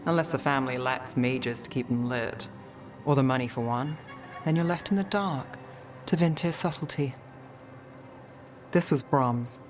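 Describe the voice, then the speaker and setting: An actor playing a somber, determined city guardian weighed down by guilt in a video game. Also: male voice, medium pitch, a little slow, pauses often, music in the background, lightly acted. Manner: somber, resolute.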